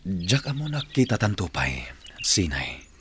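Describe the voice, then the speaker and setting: Young lover, radio drama, tender, deep pitch, noisy recording. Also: male voice, sing-song, unhurried. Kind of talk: Ilocano radio drama acting.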